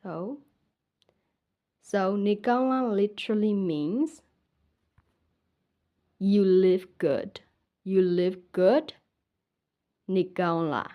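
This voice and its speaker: A woman's voice: mid-range voice, very slow, pauses often, dull audio. A young woman from Myanmar with a Burmese accent, teaching her language in a casual lesson and patiently saying each word twice.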